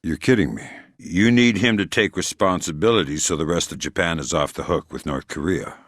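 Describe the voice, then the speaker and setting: A hardened covert spy from a video game, with a very deep, gruff voice. He speaks tersely, with deadpan sarcasm. The sound is close and dry, with no room on it. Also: a little fast, dry recording. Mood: businesslike, dry. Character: hardened, sardonic male covert spy operative. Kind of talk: video game character dialogue.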